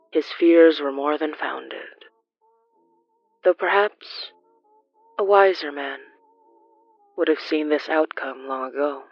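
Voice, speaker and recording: Horror fiction narrator, mid-range voice, formal and measured, slightly dull dry recording. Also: medium pitch, no room sound.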